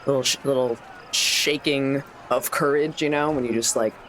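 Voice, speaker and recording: A man's voice: medium pitch, fairly even intonation, moderate pace, a bit bright, low-quality recording. Rambling man improvising in a tabletop game. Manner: rambling, self-interrupting. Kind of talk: casual improvised roleplay narration. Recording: faint background noise.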